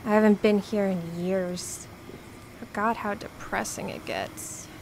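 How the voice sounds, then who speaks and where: An actress playing a kind, wry spirit woman, Death's emissary, in a radio play, gentle and understated. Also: mid-range voice, fairly even intonation, unhurried, gravelly, background noise. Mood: wry, subdued.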